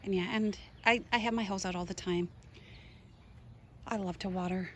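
A woman from Minnesota gives an eager, chatty tour of her outdoor garden, pointing things out as she goes. Her voice sits at an ordinary middle pitch, recorded on a handheld phone. Faint birdsong and outdoor air sit softly behind her. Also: fairly even intonation, moderate pace, slight background noise. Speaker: gardening vlogger.

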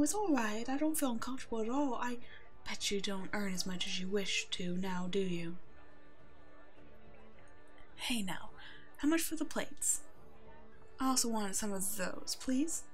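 A woman playing a kind, protective werewolf in an ASMR roleplay drama, speaking gently and reassuringly as if to a child. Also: a little high. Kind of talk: ASMR roleplay audio drama, one-sided dialogue.

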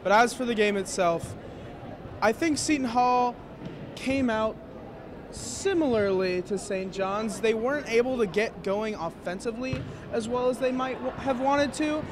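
A young man with a fairly high voice breaks down a basketball game in earnest detail. He speaks into a handheld mic courtside in a big arena, with clear noise behind him. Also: a little slow. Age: young adult. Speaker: student sports analyst. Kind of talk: postgame basketball recap. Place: courtside in a large indoor arena.

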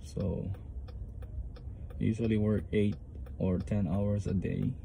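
Filipino man, thirties, low-pitched, relaxed car vlogger over road noise. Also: takes it slowly, creaky. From Philippines. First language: Tagalog. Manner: rambling, relaxed, loose informal English. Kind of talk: casual vlog chat. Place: inside a car. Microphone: phone camera on the dashboard.

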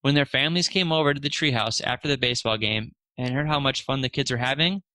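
A man's voice: medium pitch, quick pace, bright, forceful tone, dry recording. A man narrating a children's storybook aloud, expressively lifting his voice for the dialogue.

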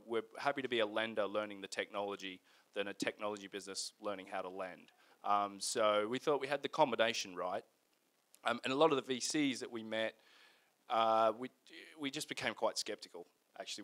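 A middle-aged man with a fairly low voice answers as a founder being interviewed on stage. He talks conversationally into a handheld mic, feeling his way through phrases with small qualifying asides. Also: a bit bright. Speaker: guest, company founder. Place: indoor event stage in front of a screen. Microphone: handheld microphone.

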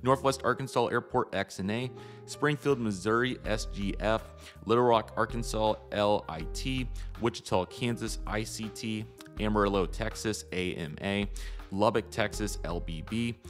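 Low-voiced American man over guitar music. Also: moderate pace. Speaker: hobby channel host. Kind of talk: casual scripted-outline vlog voice-over. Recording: music behind the voice.

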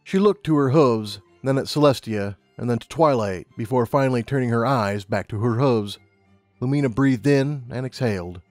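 A man narrates a story from a script. His voice is medium in pitch and recorded dry and close, with music playing behind it. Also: moderate pace, a little mellow, no room sound. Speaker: audiobook narrator.

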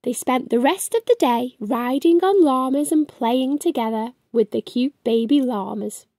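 A middle-aged woman hosting a children's storytime, reading aloud in an expressive, sing-song way. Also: high voice, a little slow, no echo, studio quality. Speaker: children's storytime host and reader. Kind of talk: animated read-aloud for young children. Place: small furnished room at home.

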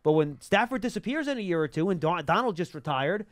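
A man's voice: high voice, expressive, fast, no echo. A man hosting live sports talk, explaining things emphatically into a close studio mic. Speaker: sports talk show host. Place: small indoor broadcast studio. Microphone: close broadcast mic on boom arm.

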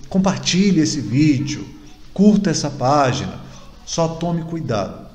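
A man in his thirties with a Brazilian accent giving an informal, emphatic instructional talk, full of questions and repetition. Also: a little high. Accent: Brazilian Portuguese. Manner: conversational, emphatic, uses rhetorical questions and repetition. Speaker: marketing speaker for barbers. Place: small home room, indoors. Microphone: desk microphone near webcam. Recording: roomy echo.

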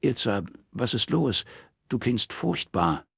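A man's voice: medium pitch, very animated, slow, vocal fry, slightly rough, no room sound, clean studio sound, pitched above the performer's own voice, heavily acted. A man voicing a caring young woman in an audiobook, worried and urgent as she consoles a friend. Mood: concerned.

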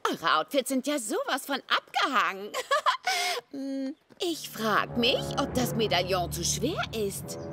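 Scheming teen-girl villainess for a cartoon: theatrical, very animated, slow and savoring, music underneath. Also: sits high, background noise, full character voice. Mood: sly, mocking. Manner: theatrical, gloating, drawn-out delivery. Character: gleefully scheming villainess posing as a teenage skater girl. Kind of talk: German cartoon dub. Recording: loud music behind the voice.

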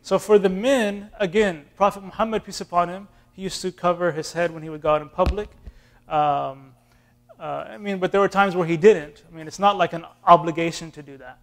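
A man in his thirties from Austin, Texas, an imam answering questions in a relaxed, classroom way with fillers and restarts, filmed by a far camera in a community center room. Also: high-pitched, fairly quick. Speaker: imam teaching an intro class.

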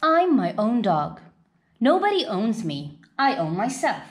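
A woman's voice: fairly high pitch, very animated, unhurried. A woman voicing a proud, independent cartoon dog from a picture book, self-satisfied, bossy and affectionate. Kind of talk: children's picture-book read-aloud.

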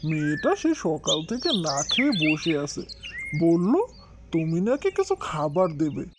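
A woman's voice: deep voice, big pitch swings, a little slow. A woman voicing a poor village husband and concerned father in a cartoon, earnest and beseeching. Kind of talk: cartoon dialogue. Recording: very noisy track, loud birdsong.

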